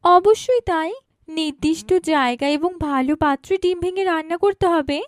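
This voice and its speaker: A woman's voice: very high voice, normal speed, no room sound, clean studio sound. A woman voicing a polite, earnest village woman in a moral cartoon, with a Bangladeshi accent. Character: village mother and her polite, earnest grown daughter. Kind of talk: cartoon moral story dialogue.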